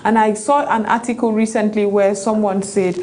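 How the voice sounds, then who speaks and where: A woman talking on a panel about pan-politics, animated, with many fillers and restarts. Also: medium pitch, moderate pace, slight background noise. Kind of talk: panel discussion.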